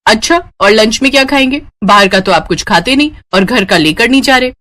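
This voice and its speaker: A woman's voice: on the high side, lively intonation, brisk, a bit bright. A woman voicing a caring, affectionate young sister who scolds fondly and expressively in a dramatized family story. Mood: fondly scolding.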